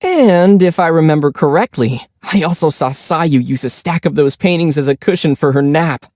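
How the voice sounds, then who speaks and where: Man as young male adventurer, relaxed soft-edged tone, close dry recording, calm, measured, gently teasing, video game character. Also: a little high, no room sound, lightly acted. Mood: wry. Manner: easygoing, measured, gently wry.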